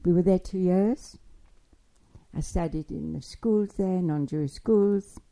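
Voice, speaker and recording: Gravelly, dark-voiced older woman reminiscing. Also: lowish voice. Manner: halting, digressive storytelling with many restarts. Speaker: interviewee recounting childhood. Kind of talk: spoken memoir, oral history.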